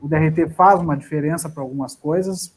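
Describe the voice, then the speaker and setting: A man's voice: fairly high pitch, a little fast, slightly rough. A man, an actor, chatting casually in a live interview about his career, often restarting his sentences. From southern Brazil. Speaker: actor guest.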